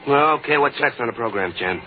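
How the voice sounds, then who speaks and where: A man playing a gruff, impatient American police lieutenant in a vintage radio detective show, talking in blunt slang. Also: mid-range voice, lively intonation, quick pace, lightly acted. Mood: businesslike. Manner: blunt, slangy tough-cop talk. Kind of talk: old-time radio detective acting. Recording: tinny, hissy vintage radio recording.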